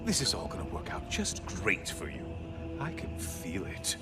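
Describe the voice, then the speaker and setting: An actor playing a sardonic, taunting sun god in a video game, with theatrical, mock-cheerful sarcasm. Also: male voice, sits low, wildly swooping pitch, a little slow, over loud music, heavily acted. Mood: mocking, gleeful. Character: taunting, sardonic sun god, gleefully mocking old foe. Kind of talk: dramatic video game acting.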